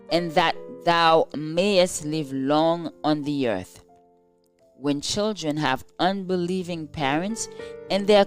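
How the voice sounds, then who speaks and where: A woman reading a scripted religious devotional in a measured, solemn and formal manner. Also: sits low. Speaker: devotional reader. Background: soft instrumental music.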